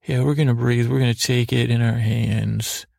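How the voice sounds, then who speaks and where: A man with a gravelly voice hosting a sleep podcast, giving a soothing, rambling bedtime monologue that halts, repeats and wanders off mid-thought. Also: mid-range voice, fairly even intonation, darkish tone, no room sound, clean studio sound. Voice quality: gravelly, creaky. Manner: halting, meandering, repeats and trails off mid-thought.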